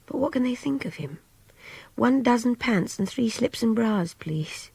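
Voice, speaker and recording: A husky, intimate young Englishwoman. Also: fairly high pitch, animated, a little slow, mellow, no echo, heavily acted. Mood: musing, wry. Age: young adult. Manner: intimate, confiding, often dropping towards a whisper. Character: captive young woman art student, reflective, idealistic, confiding. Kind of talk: radio drama interior monologue.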